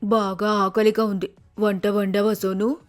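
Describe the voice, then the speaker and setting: A woman's voice: mid-range voice, level tone, measured pace, close to an everyday voice. Woman acting older female sparrow, cartoon mother-in-law, stern, scolding, firm, background music. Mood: plain, tired.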